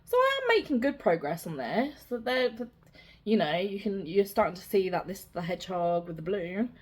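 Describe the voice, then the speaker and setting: A young woman with a slightly raspy voice, chatting casually as she shows her cross-stitch projects. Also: mid-range voice, big pitch swings, brisk. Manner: chatty, rambling, self-deprecating. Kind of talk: casual show-and-tell chat.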